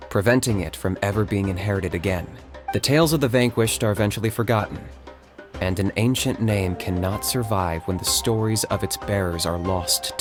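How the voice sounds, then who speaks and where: A man voices a stern game warrior telling ancient law. The voice is fairly low and solemn, formal in delivery, over faint music. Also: lightly acted. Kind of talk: video game voice acting.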